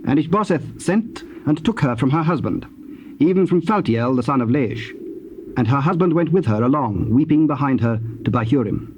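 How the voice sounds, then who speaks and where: Formal male scripture narrator, mid-pitched. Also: animated, a little mellow. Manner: measured, formal storytelling. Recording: noisy old cassette tape transfer.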